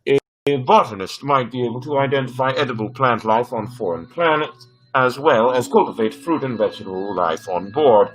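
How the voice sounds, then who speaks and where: A man voicing a servile, precise ship's computer in a sci-fi story reading, with measured technical delivery. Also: medium pitch, lightly acted, low-quality recording. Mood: neutral, informative. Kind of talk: dramatic reading of sci-fi script.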